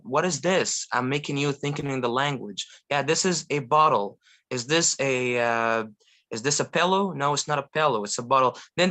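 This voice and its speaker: Young Egyptian man with American-style English. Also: medium pitch. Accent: American-style English learned by a non-native. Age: 20s. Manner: animated, laughing often, frequent restarts. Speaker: guest polyglot. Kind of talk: casual conversational interview.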